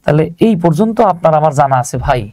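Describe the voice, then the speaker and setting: Male tutor, Bangladeshi accent, conversational step-by-step explaining, relaxed warm tone, close dry sound. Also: a little high, fast talker, no room sound. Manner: conversational, checks on learners with rhetorical questions. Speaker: English grammar teacher. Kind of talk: informal grammar lesson, explaining step by step.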